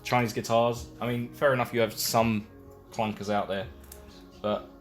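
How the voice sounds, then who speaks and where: A man's voice: mid-range voice, flat delivery, normal speed. A man chatting casually on a live stream while painting and building a case, rambling and self-deprecating as he works. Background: faint music.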